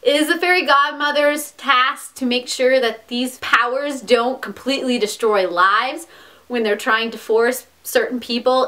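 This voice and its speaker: Bright-voiced young woman gushing about books. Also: on the high side. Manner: enthusiastic, chatty, with quick asides and restarts. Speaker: book vlogger. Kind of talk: casual book recommendation vlog.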